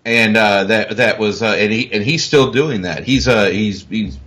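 Bright-voiced man, emphatic rambling pundit. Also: lowish voice, normal speed. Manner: emphatic, rambling with many restarts. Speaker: rugby commentator and pundit. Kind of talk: casual panel chat.

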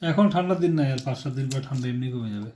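Fast-talking young man, mellow and offhand. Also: mid-range voice, slight background noise. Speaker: grown son on a phone call with his mother. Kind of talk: casual family phone chat.

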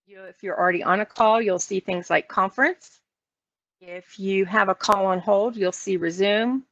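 American woman, instructional trainer, gravelly fry in the voice, muffled online-meeting sound. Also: mid-range voice, fairly bright tone, no room sound. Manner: instructional, explanatory. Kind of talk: step-by-step software and phone training walkthrough. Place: online meeting screen-share, voice only. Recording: dull lo-fi online meeting audio.

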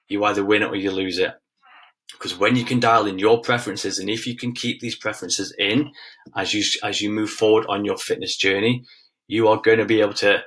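A man's voice: on the low side, vocal fry, fairly bright tone. A man in his thirties giving an informal online fitness lesson in a direct, instructive way, repeating key phrases for emphasis. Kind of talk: informal webinar lesson with slides.

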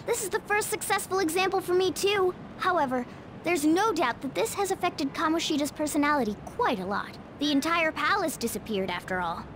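A woman's voice: high-pitched, a little fast, slight background noise. A woman voicing a small, bossy, cheerful cat-like mascot creature in a video game, over game music. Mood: explaining. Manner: bossy, chirpy, animated. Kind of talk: video game cutscene voice acting. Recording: game audio with loud music.

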